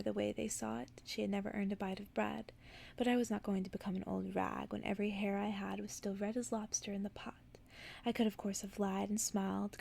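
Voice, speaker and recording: A woman softly reading a bedtime story in an ASMR style, hushed and gentle, slipping into whispers. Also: mid-range voice, vocal fry. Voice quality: soft, partly whispered. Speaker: ASMR narrator.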